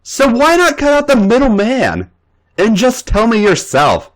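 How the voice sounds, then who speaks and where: A man putting on a sassy young woman, high-pitched. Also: wildly swooping pitch, measured pace, exaggerated voice. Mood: indignant. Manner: sassy, indignant. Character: feisty small female wrestler.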